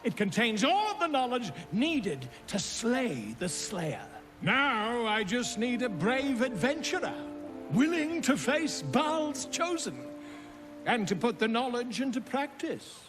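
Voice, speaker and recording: A courteous, theatrical scholar in a fantasy game. His voice sits high and swings widely in pitch. He phrases things slowly and ornately, with earnest drama over soft music. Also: very animated, heavily acted. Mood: eager. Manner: florid, precise, dramatic phrasing. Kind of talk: cinematic video game acting.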